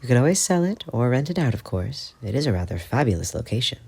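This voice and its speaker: A man putting on a calm, wry mother. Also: fast talker, creaky, husky, full character voice. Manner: calm, casual, slightly higher put-on voice. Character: composed, wry middle-aged mother and guardian.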